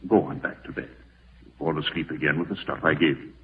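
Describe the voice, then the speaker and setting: An actor in an old-time radio horror drama playing a commanding schemer with a soothing, controlling way. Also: male voice, extremely low pitch, fairly even intonation, a little fast, slight background noise. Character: commanding, soothing male schemer dispensing sleeping medicine. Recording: distant, muffled old radio recording.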